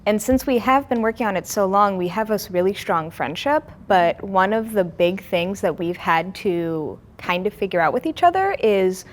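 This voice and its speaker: A woman's voice: medium pitch, expressive, moderate pace, hardly any pauses. A young woman who co-creates and writes a comic, explaining her process in an interview.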